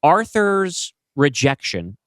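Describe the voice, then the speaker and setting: A man talking casually and bluntly as a podcast host, with loose swearing and an animated discussion style. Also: a little high, takes it slowly, no room sound, studio quality.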